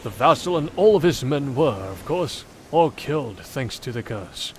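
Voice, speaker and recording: A man voicing a game character who recounts an old legend in a measured, formal, theatrical way. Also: medium pitch, sing-song, a little hiss or hum. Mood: grave, dramatic. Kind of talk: dramatic scripted visual novel storytelling. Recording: game audio with background music.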